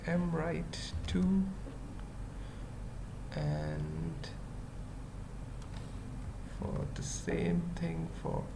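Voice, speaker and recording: A tutorial presenter thinking aloud in halting, murmured fragments during an informal coding screencast. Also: mid-range voice, level tone, gravelly, background noise. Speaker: web development tutorial presenter.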